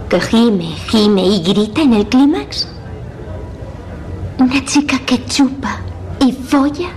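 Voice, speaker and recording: A defiant teenage girl with a Spain accent in a dubbed TV drama. Her voice is fairly high, sarcastic and provocative, over a slightly noisy clip. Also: a little mellow. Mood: defiant provocation.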